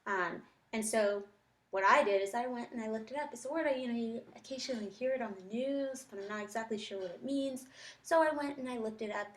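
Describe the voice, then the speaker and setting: A woman's voice: fairly high pitch, brisk. A woman teacher giving a think-aloud reading lesson, reading and commenting reflectively, talking her thoughts through step by step.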